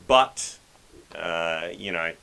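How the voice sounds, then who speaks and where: A laid-back man co-hosts a casual motoring podcast and pokes gentle fun at himself. His voice is very low with a bright, forceful edge. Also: very slow, vocal fry, slight background noise. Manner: laid-back, self-deprecating. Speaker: podcast co-host.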